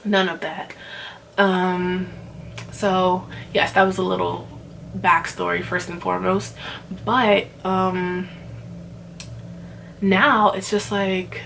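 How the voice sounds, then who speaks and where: A woman's voice: on the low side, little pitch movement, takes it slowly, vocal fry, long pauses, fairly bright tone, a little hiss or hum. A woman in her twenties from Nigeria, ranting casually to camera with lots of fillers and restarts. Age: 20s. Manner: rambling, many fillers and restarts. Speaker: vlogger.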